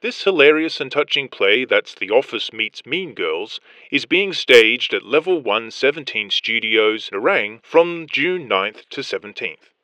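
Lively male announcer in a dry recording. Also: medium pitch, a little slow, no room sound, low-quality recording. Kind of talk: scripted promotional announcement.

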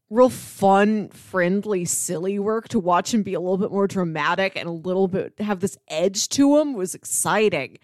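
A woman's voice: medium pitch, no echo. A woman with a mid-range voice chats casually as a podcast co-host reviewing television. She recounts scenes and slips into voicing characters' thoughts, recorded dry with no room sound.

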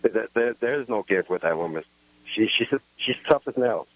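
A man calls in to a radio talk show, speaking in an earnest, halting way and repeating himself. His voice is fairly low. The line sounds dry and muffled. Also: lively intonation, dry recording. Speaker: caller. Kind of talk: call-in phone conversation.

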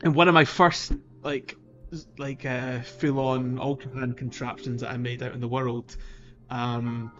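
A man's voice: medium pitch, level tone, unhurried, fairly bright tone, lo-fi sound. A male podcast co-host with a middle-range voice talks casually about a video game. He rambles in a loose, chuckling way, with faint music playing underneath. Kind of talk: casual two-man video game playthrough chat.

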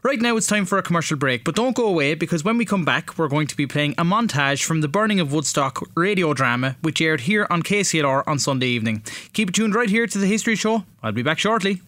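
A man's voice: fairly high pitch, lively intonation, quick pace, a bit bright, no echo. An Irish man hosting a radio show, presenting in a scripted broadcast style.

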